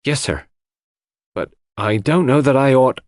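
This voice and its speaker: A man's voice: mid-range voice, a little slow, a little mellow, dry recording, clean studio sound, voice pushed up, lightly acted. A man voicing an old, loyal English housemaid who is deferential, old-fashioned and easily indignant, in an audiobook mystery. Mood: deferential, guarded.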